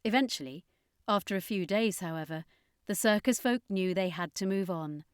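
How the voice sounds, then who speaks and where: A woman's voice: medium pitch, moderate pace, clean tone, no room sound, studio quality. A woman reading a scripted adventure story as an audiobook narrator.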